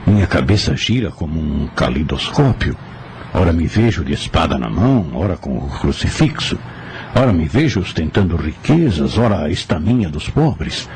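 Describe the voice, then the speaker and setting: A man's voice: very deep voice, big pitch swings, normal speed, darkish tone, a little hiss or hum. An actor playing a troubled, reflective man in a radio drama, with dramatic, introspective delivery. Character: troubled, reflective male student of history. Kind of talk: radio drama monologue and dialogue.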